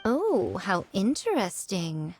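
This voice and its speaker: A woman voicing a poised, sly shrine maiden of high rank in a video game, composed and coy in manner. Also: wildly swooping pitch, takes it slowly, a little mellow, slight background noise. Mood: coy, intrigued.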